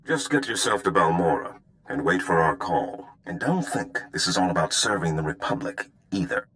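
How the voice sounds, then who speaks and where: An actor playing a sly, easygoing underworld operative giving briefings in a video game. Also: male voice, sits low, big pitch swings, moderate pace, fairly bright tone. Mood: sly, encouraging.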